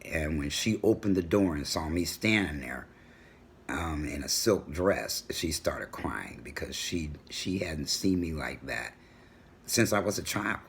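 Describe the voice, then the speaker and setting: A middle-aged man with a booming voice, talking assertively in a personal home vlog. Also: measured pace, vocal fry, a little hiss or hum. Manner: assertive, animated storytelling. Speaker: vlogger. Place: home room with a world map on the wall.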